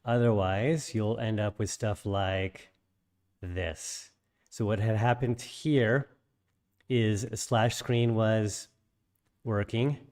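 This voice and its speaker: Low, slightly raspy male instructor; casual, halting explainer full of ums and restarts. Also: fairly even intonation, a little mellow. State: slightly raspy after illness. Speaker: programming instructor. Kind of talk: screen-share coding tutorial.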